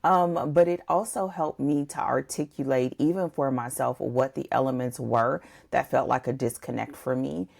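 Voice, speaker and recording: Woman, very low voice, heavy creak, podcast co-host, conversational, thinking out loud, wandering phrasing. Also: fairly even intonation, moderate pace, few pauses. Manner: discursive, thinking aloud. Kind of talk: conversational book discussion.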